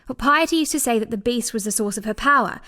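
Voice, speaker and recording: A sharp-tongued exiled woman from a fantasy video game. Her voice sits a little high. She is wary and dry, with a pointed, teasing edge. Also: quick pace. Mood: grave. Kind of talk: scripted video game dialogue.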